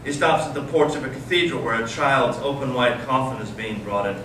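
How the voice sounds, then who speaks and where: A man playing an intense, anguished intellectual elder brother in a staged reading, swinging from hushed to impassioned. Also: mid-range voice, a little fast, close to an everyday voice. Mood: hushed storytelling. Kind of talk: staged readers theater monologue and storytelling. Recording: echoey stage, distant mic, clear noise.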